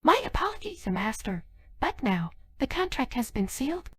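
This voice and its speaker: A woman's voice: high voice, very animated, moderate pace, breathy edge, no echo, clean studio sound, exaggerated voice. A synthetic female voice playing an earnest, formal young genie of a magic ring in a dubbed game cutscene. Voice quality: synthetic text-to-speech voice. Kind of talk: dubbed game cutscene dialogue by text-to-speech.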